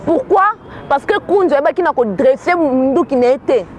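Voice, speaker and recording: Woman from kinshasa w/ congolese accent, earnest, repeats words, high pitched. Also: lively intonation, moderate pace, darkish tone, a little hiss or hum. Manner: earnest, repeats words when searching for them. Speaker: commentator. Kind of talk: spoken commentary mixing Lingala and French.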